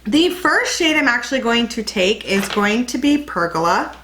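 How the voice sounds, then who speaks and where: A woman's voice: a little high, very animated, moderate pace, a bit bright, slight background noise. A young woman with a slight cold, chatting and rambling through a casual makeup tutorial as a beauty vlogger. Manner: chatty, rambling, self-correcting.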